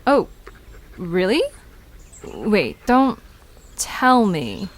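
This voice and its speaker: A woman's voice: mid-range voice, huge pitch swings, drawn-out words, exaggerated voice. A woman playing a curious, teasing young park visitor in an audio drama, chatting in a casual, playful way. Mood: intrigued. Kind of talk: conversational audio drama acting.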